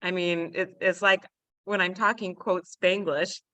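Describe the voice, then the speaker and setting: A playful American woman with a faint Midwestern lilt tells stories in a casual panel chat, laughing often. Her voice is bright and forceful. It sounds close and dry, with no room around it. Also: lowish voice, level tone, unhurried, no echo. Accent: American Midwest tinge. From United States.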